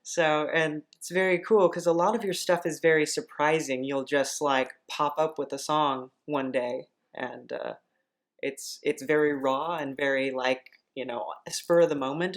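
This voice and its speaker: A woman's voice: deep voice, steady tone, moderate pace. A woman hosting a podcast, interviewing a guest in a casual, conversational style. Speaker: podcast host.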